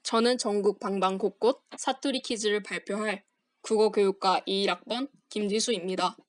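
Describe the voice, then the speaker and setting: A young woman, a university student, reading a formal project presentation aloud in a steady, scripted manner. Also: mid-range voice, moderate pace, dry recording. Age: young adult.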